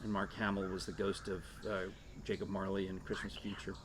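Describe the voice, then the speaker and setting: A middle-aged American man with a fairly low voice co-hosts a relaxed chat show and tells stories conversationally. He is recorded on a porch at a tabletop mic, with faint ambient noise around him. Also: brisk. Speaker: talk show co-host. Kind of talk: casual anecdotal chat. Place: screened porch outdoors. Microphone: shared desktop condenser mic on table. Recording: noisy open-air ambience.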